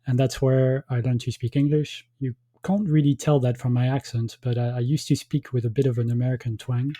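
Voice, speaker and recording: A man raised in Belgium whose first language is French, speaking as a guest academic. His tone is deep-toned and mellow, and the sound is close and dry. He answers in measured phrases with frequent hesitations. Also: flat delivery, no echo. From grew up in Belgium, born in France. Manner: measured, thoughtful, with frequent "um" pauses. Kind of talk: conversational interview answers.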